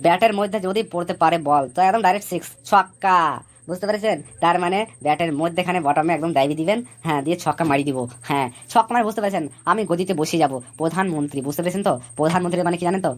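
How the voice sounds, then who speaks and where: A woman's voice: on the low side, very fast, lightly acted. A woman voicing a boastful, scheming male election candidate in a comic cartoon, speechifying in a blustering, repetitive way. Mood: cocky, persuasive.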